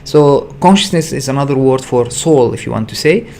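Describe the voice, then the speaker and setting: A man's voice: mid-range voice, moderate pace, gravelly, darkish tone, slight background noise. A man hosting a livestream as a spiritual teacher, talking unscripted in an earnest way, repeating and rephrasing his ideas with frequent hesitations. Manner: earnest, repetitive, searching phrasing with frequent hesitations.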